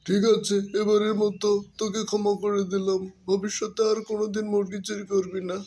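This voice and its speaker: A man's voice: high-pitched, completely flat delivery, fairly quick, mellow, pitched above the performer's own voice. A man voicing a proud, exasperated elder fox brother in a cartoon, scolding and lamenting. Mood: stern, forgiving. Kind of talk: cartoon voice acting.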